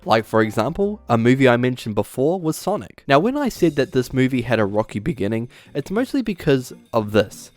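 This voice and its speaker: A man's voice: medium pitch, very animated, fairly quick, few pauses, faint music behind. A man presenting an opinionated video essay in a casual way, partly scripted, as the host. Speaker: YouTube host.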